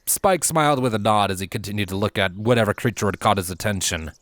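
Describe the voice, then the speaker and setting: A man's voice: on the low side, quick pace, fairly bright tone, no room sound. A man reading a scripted fantasy story aloud as its narrator. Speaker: fan fiction reader, narrator. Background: background music.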